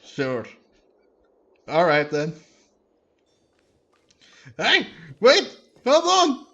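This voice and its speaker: A man's voice: on the high side, huge pitch swings, very slow, sharp tone. A man doing casual, playful let's-play commentary, reacting aloud and reading game dialogue. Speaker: game streamer.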